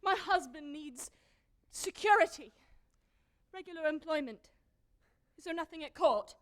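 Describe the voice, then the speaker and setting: Girl acting as a desperate young wife in a period stage play, really high voice, direct, emotional. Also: unhurried, fairly bright tone. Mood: pleading. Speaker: stage actor in a school one-act play.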